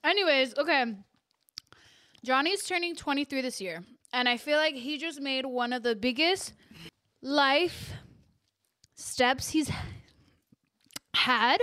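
A woman's voice: high-pitched, slow, pauses often, pushed and bright, dry recording, clean studio sound. A woman in her twenties hosting a podcast, bubbly and giggly in casual chat. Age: 20s. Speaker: podcast host.

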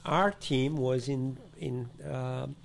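A man's voice: mid-range voice, steady tone, slow pace, creaky. Older man, searching for words. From born in Morocco, raised in Paris. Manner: searching for English words, quiet and trailing. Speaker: guest, fashion designer. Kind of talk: casual interview chat.

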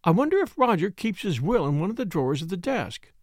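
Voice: mid-range voice, big pitch swings, quick pace, no echo, studio quality, pitched above the performer's own voice. A reader voicing a fussy, tearful lady who talks in a fluttery, rambling, self-pitying way. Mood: wheedling. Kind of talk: audiobook character reading.

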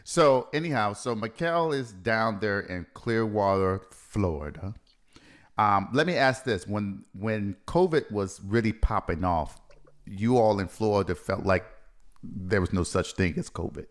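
A man's voice: fairly low pitch, animated, a little slow. A man hosting a casual podcast, asking a guest questions in a playful, teasing way. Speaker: podcast host. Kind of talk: casual interview chat.

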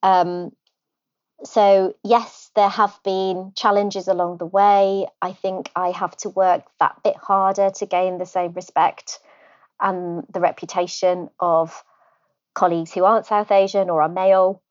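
A middle-aged woman from North Wales, a hospital physician, answering interview questions thoughtfully with pauses and restarts. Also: medium pitch, flat delivery, darkish tone, highs cut off.

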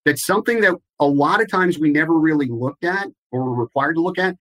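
A man answering interview questions in a measured, explanatory way, with some restarts. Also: fairly high pitch, a bit bright. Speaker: guest, compliance expert.

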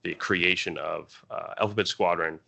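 A man co-hosting a casual podcast book discussion, speaking in a halting way that often circles back on itself. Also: low-pitched, fairly even intonation, creaky, bright, forceful tone, highs cut off. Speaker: podcast co-host.

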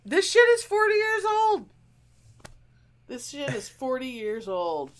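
Slow-paced middle-aged woman, casual. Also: high-pitched, sing-song. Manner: halting, repeats herself, swears casually. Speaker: podcast co-host. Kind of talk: casual chat.

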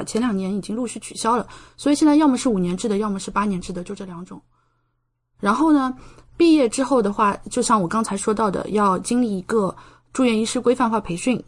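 A young woman from China, a podcast guest, explaining things in a conversational interview style. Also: medium pitch. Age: young adult.

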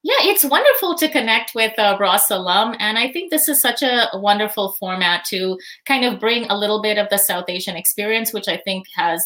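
A woman's voice: mid-range voice, lively intonation, a little fast, few pauses. Thoughtful woman guest, easy laughs. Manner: thoughtful, warm, laughs easily, frequent fillers. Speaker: podcast guest. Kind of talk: casual interview answers.

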